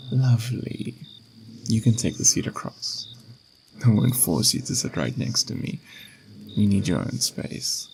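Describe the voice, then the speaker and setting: A man playing a patient, gentle, mysterious ageless being in an ASMR roleplay, speaking in an unhurried, philosophical, reassuring way with a gravelly, creaky voice. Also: on the low side, lively intonation, a little hiss or hum, full character voice, poor audio. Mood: soothing, inviting. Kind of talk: ASMR roleplay, slow intimate monologue.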